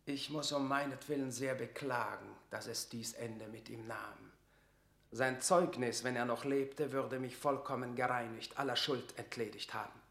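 An actor playing a stern, calculating, proud lord at court in a classical radio drama, declaiming forcefully. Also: male voice, mid-range voice, fairly even intonation, normal speed, gravelly, echoey room. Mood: cool, measured. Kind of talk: classical German stage-verse radio drama.

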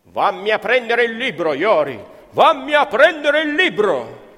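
A man's voice: sits high, sing-song, unhurried, bright, forceful tone, breathy edge, voice pushed up, exaggerated voice. A man voicing an overbearing, furious fascist schoolteacher barking commands in a stage story. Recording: hall reverb, live stage performance.

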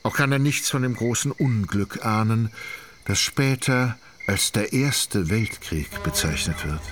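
An actor as a detached, wry literary narrator, reading ornate prose in a measured, ironic way for a radio drama. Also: male voice, lowish voice, lightly acted. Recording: noisy outdoor ambience with crickets.

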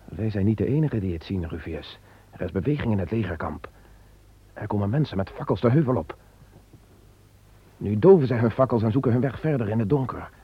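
An actor playing a solemn, commanding Roman emperor in an old radio play. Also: male voice, on the low side, expressive, fairly quick, pauses often, slightly rough, lo-fi sound. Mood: urgent. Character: Roman emperor seeing a sign in the sky. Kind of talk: radio drama acting.

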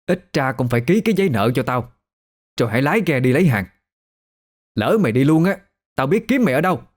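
A man's voice: mid-range voice, sing-song, fast, pitched above the performer's own voice. A man voicing a furious, wailing old village mother with a Southern Vietnamese accent in an audio story.

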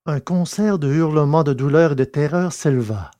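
A man reading a science fiction story aloud in a steady, measured narration. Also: fairly high pitch. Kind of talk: scripted audiobook narration.

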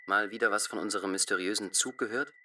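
A man's voice: lowish voice, fast talker, background noise, close to an everyday voice. An actor playing a curious, mildly grumpy young man in a radio drama, talking in short casual phrases.

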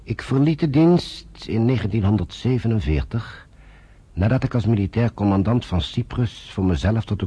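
An actor playing a solemn older retired British army general who narrates in a grave, measured way. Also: male voice, low-pitched, mellow, husky, heavily acted. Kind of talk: 1950s radio drama narration.

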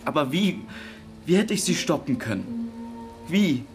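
An actor playing an earnest, troubled grown brother talking to his mother in a German radio drama, halting and emotional. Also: fairly high pitch, big pitch swings, music in the background. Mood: anguished.

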